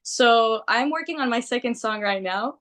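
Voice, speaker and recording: Lively Greek-born woman chatting. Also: on the high side, moderate pace. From Greece. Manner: animated, laughs often, quotes people in put-on voices. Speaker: musician guest. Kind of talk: casual interview chat.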